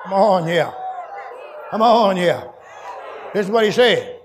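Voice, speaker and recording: A man preaching a sermon to his congregation, shouting and chanting in a call-and-response style. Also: high-pitched, lively intonation, slow. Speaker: pastor. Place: large church. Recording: crowd and noise behind, loud. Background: congregation crowd.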